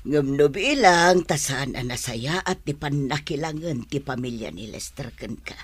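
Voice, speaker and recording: An actress playing a worried, protective middle-aged mother who gently cautions, in a radio drama. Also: rumbling low, expressive, unhurried, breathy edge, no room sound, exaggerated voice. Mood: worried, cautioning.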